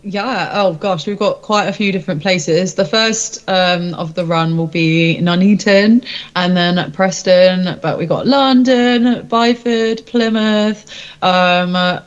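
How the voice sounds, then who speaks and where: A woman's voice: fairly low pitch, steady tone, slow, clear, resonant tone, lo-fi sound. A woman chatting casually as an interview guest, with an informal, rambling, giggly manner. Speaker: rock band singer interviewed as guest. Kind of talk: casual chat.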